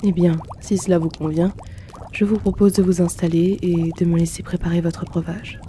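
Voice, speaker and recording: Casting: male actor for courteous potion-shop keeper, ASMR roleplay. Warm and mellow, flat gentle delivery, softly teasing, unhurried. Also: sits high, a little hiss or hum, natural voice. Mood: gentle, attentive. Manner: polite, soft-spoken, unhurried, gently teasing. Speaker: roleplay audio performer.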